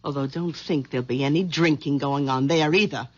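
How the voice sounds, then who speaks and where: An actress playing a domineering middle-aged wife who scolds and interrogates in a 1970s radio drama. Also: female voice, sits low, brisk. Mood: bossy. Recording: dull vintage radio recording.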